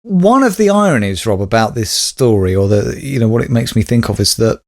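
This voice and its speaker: A man's voice: mid-range voice, lively intonation, fairly quick, vocal fry. A man co-hosting a comic podcast, teasing his partner and pushing listeners for reviews in a wry manner. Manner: wry, teasing, assertive sign-off. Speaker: podcast co-host. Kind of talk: comedic podcast chat.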